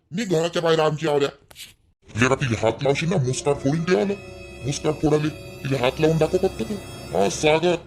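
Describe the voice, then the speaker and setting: A man's voice: a little high, lightly acted. A man playing an angry young man of the family who scolds and threatens. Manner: shouting, threatening. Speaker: actor in a family drama skit.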